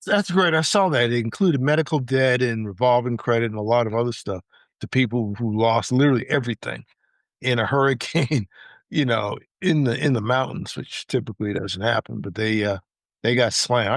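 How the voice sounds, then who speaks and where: A man's voice: mid-range voice, fairly quick, dry recording. An American man co-hosting a podcast in a casual, chatty style. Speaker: podcast co-host. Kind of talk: casual chat.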